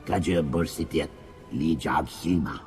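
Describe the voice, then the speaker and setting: Solemn alien male leader for a game cutscene: slow, bottomless voice, faint music behind. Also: extremely low pitch, a little mellow. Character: deep, grave alien male leader speaking alien tongue. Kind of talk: video game cutscene acting. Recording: long reverb, music behind.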